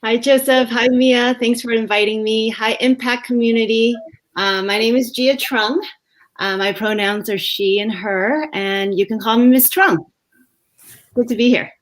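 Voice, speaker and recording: A woman from Vietnam, an education executive, answering interview questions live over a video call, thoughtful and pausing often with fillers. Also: fairly high pitch, fairly even intonation. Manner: reflective, many pauses and "um" fillers.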